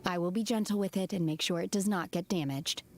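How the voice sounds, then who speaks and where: Aloof, formal young swordswoman played by a woman, lowish voice, soft game music under it. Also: fairly quick, lightly acted. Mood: calm. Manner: cool, detached and formal. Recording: game audio with music behind.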